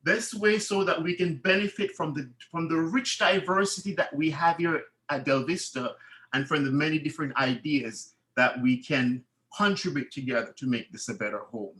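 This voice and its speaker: A man's voice: a little high, normal speed, a bit bright. A man giving an earnest, formal candidate speech at a residents' meeting, often restarting his phrases. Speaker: board candidate. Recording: echoey room.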